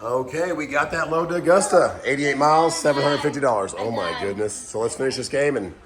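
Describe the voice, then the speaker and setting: A man's voice: medium pitch, brisk, music in the background. A man in his thirties with a groggy voice, talking casually through a trucking vlog in a loose, rambling way, with a lot of fillers. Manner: rambling, relaxed talk with fillers. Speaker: owner-operator truck driver vlogger. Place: home kitchen and car.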